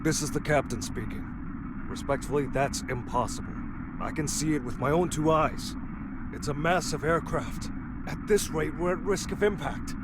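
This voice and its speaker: A man's voice: a little high, normal speed, heavy background noise, close to an everyday voice. A man voicing an alarmed airline captain in a video game. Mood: tense, controlled. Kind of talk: English game voice acting.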